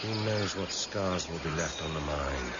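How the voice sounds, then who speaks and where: An actor playing a weary, measured senior police detective with quiet authority and an English accent, in a radio drama. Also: male voice, a bass voice. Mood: weary, reflective. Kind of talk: 1990s British radio drama acting. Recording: muffled, noisy old radio broadcast recording.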